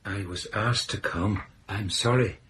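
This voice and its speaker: A man's voice: on the low side, a little slow, a bit bright, slight background noise. A man playing an apologetic company messenger in a radio drama, speaking with formal, hesitant courtesy. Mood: grave, regretful. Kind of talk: naturalistic radio drama acting.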